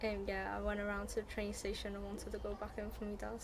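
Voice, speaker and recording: A young female interviewee, giving a halting account as an eyewitness. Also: mid-range voice, completely flat delivery. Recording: noisy location interview sound.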